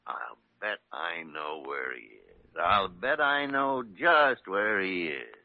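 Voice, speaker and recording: An actor with an American accent playing a crusty, blustering old senior doctor in an old radio drama, grumbling and indignant. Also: male voice, low voice, big pitch swings, slow pace, gravelly, no echo, exaggerated voice. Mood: brisk, curious. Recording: dull, noisy old radio broadcast recording.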